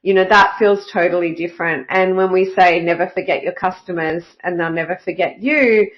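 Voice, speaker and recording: A woman hosting her own podcast, sounding a little croaky from a cold and talking in an upbeat way with frequent "uh" fillers. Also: fairly low pitch, a little fast, highs cut off. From Australia. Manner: upbeat and enthusiastic, with many "uh" fillers. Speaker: podcast host. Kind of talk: solo podcast monologue.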